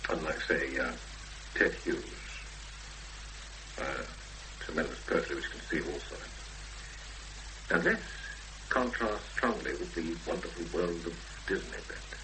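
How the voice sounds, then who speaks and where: A man's voice: a bass voice, unhurried, pauses often. An actor in a 1970s radio drama playing a self-assured, analytical literary man who hesitates as he thinks aloud. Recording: noisy hummy 1970s radio broadcast recording.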